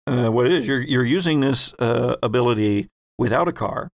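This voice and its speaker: A man acting as game master, explaining rules and narrating scenes in measured bursts. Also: mid-range voice, no room sound, studio quality.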